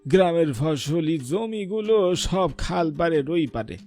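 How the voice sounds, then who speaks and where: An elderly cartoon villager with a notably high voice and a rural Bengali dialect. He is weary, folksy and given to grumbling. Light music runs underneath. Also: lively intonation, a little slow, a little mellow. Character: complaining old male villager, plain-spoken and weary. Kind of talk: dramatized cartoon dialogue.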